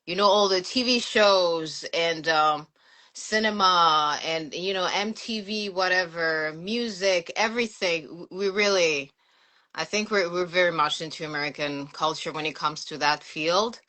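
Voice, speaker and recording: A woman in her forties with a French accent, chatting casually as an interview guest, relaxed and full of fillers. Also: fairly low pitch, unhurried. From France. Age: 40s. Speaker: guest, sneaker collector and teacher.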